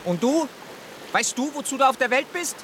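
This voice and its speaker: An actor playing a naive, despairing pet tomcat in a radio drama, plaintive and earnestly questioning. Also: male voice, very high voice, lively intonation, moderate pace. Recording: constant rain-like noise behind the voice.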